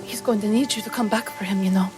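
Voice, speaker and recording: A woman playing a fierce but caring foxkin mage in a tabletop fantasy game, speaking gently but firmly. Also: mid-range voice, fairly even intonation, fast talker, faint music behind, close to an everyday voice. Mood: gentle concern.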